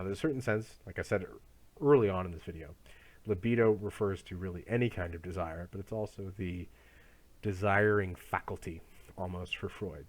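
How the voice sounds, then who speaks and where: A man in his thirties giving a recorded college lecture, talking loosely and conversationally with frequent restarts. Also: sits low.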